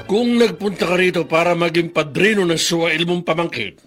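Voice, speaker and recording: A man's voice: fairly high pitch, fairly quick, a bit bright, soft background music, lightly acted. A man with a Filipino accent playing a bitter, unforgiving middle-aged father in a radio drama, indignant and sarcastic.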